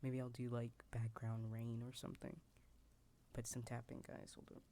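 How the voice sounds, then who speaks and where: Very low-voiced whispering American woman. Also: level tone, normal speed, slight background noise. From United States. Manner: soft whisper, rambling with restarts and asides. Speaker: ASMR storyteller. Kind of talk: whispered ASMR roleplay storytelling. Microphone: desk mic lowered near a keyboard. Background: keyboard typing sounds.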